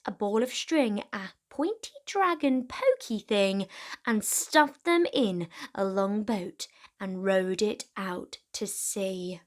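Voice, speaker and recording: Animated, slow-paced woman storyteller. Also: mid-range voice. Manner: dramatic, deliberate emphasis on key words. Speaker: children's storyteller and host. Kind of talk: expressive children's storybook read-aloud.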